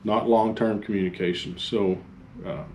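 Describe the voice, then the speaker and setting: A man giving an informal instructional talk as a fire chief, halting and plain-spoken. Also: lowish voice, steady tone, darkish tone, rough recording. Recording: faint background noise.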